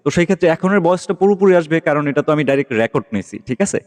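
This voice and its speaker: A man's voice: fairly high pitch, expressive, very fast, darkish tone. A man giving a casual tutorial voice-over as host, explaining step by step and often checking that listeners follow. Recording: long reverberant echo.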